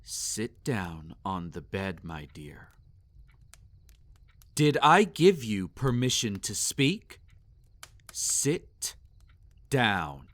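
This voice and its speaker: Man playing a slow, possessive, sadistic male vampire. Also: fairly low pitch, very animated, fairly bright tone, heavily acted. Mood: mocking, commanding. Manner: theatrical, savoring, teasing and condescending. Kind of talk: intimate roleplay audio acting.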